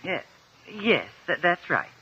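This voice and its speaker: An actress with an American accent playing a nervous, earnest courtroom witness in a 1950s radio drama, hesitant and stammering. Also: female voice, fairly low pitch, big pitch swings, takes it slowly, bright, forceful tone, husky, full character voice. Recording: muffled old 1950s radio recording.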